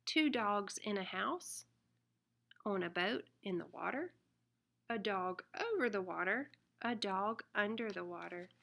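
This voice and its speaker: A woman reading a children's picture book aloud in an expressive, deliberate way. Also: medium pitch, vocal fry, slight background noise. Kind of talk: read-aloud children's storybook narration.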